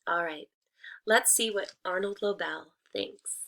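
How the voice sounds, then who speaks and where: A woman teaching and reading aloud to children, speaking deliberately and expressively and addressing them directly. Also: lowish voice, fairly bright tone. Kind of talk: children's read-aloud, instructional storytelling.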